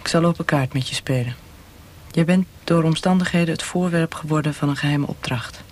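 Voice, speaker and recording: An actor playing a cold, insistent military interrogator in a radio play, with a hushed, breathy, measured voice. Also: male voice, a little high, natural voice. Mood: stern, controlled. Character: cold, pressuring military interrogator offering a mercy death.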